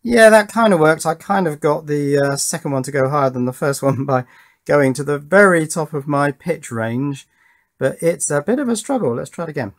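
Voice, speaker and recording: A man's voice: a little high, normal speed, slight background noise. A middle-aged man informally narrating an electronics test at his workbench, thinking aloud in a self-deprecating way. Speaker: electronics hobbyist presenter.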